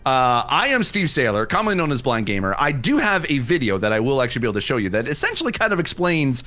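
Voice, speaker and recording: A man with a deep, smooth voice, a guest on a livestream, talking in an animated way full of fillers. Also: quick pace, faint music behind. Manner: animated, filler-heavy talk. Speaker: guest streamer. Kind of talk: casual chat.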